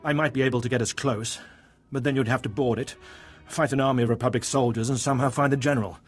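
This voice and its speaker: An actor playing a gruff, pragmatic warship captain in a video game, firm and commanding. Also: male voice, medium pitch, fairly even intonation, fast, faint music behind, natural voice. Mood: defiant.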